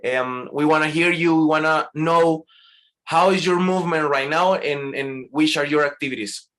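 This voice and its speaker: A man from Colombia presenting a live segment, warmly inviting guests to speak. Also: on the high side, moderate pace. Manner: friendly, inviting questions. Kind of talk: casual live show hosting.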